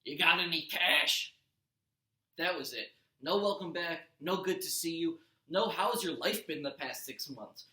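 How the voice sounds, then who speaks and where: Bright-voiced man reading aloud in an echoey room. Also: a little high, normal speed. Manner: expressive, stumbles and corrects himself. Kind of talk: animated children's audiobook read-aloud.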